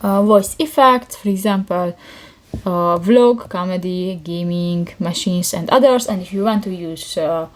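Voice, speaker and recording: Woman giving a halting app tutorial. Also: lowish voice. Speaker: tutorial host. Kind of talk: casual spoken app tutorial.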